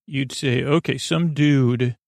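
A man with a creaky voice hosting a podcast, rambling in a halting, gently goofy bedtime monologue meant to help people drift off to sleep. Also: medium pitch, fairly even intonation, dry recording, studio quality. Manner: meandering, halting, often trailing off and repeating words. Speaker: podcast host.

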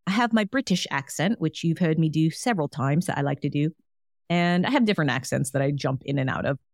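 A woman's voice: low-pitched, expressive, a little fast, no room sound. Woman podcast host, encouraging, casual, dry close sound. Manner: encouraging, chatty, frequent "like" fillers. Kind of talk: solo wellness podcast talk.

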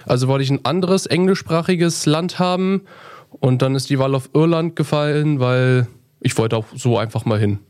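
A man's voice: mid-range voice, normal speed, a little mellow, clean tone. A young male apprentice answering interview questions in a hesitant, earnest way. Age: young adult.

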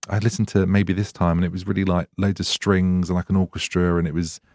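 Man, podcast host, rambling chatty talk, low pitch, dry close sound. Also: little pitch movement, fast talker, darkish tone, no room sound. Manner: chatty, rambling, laughs often. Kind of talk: casual interview chat.

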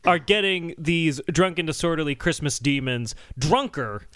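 An American man with a high, lively voice hosts a bantering comedy interview. His tone is bright and he plays along with mock-earnest questions. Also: normal speed, smooth, dry recording, studio quality. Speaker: podcast host.

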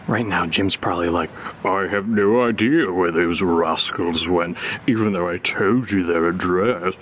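An actor playing a sarcastic, wisecracking friend in an audio drama, with a teasing, naturalistic delivery. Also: male voice, low voice, measured pace, a bit bright, slight background noise. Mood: sardonic. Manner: sardonic, joking, teasing.

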